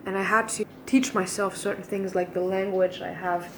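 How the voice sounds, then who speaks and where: A woman's voice: mid-range voice, fairly even intonation, moderate pace, noisy background. A young woman from Germany talking casually to her camera in a video diary, rambling with fillers and sounding jet lagged. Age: young adult. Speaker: vlogger.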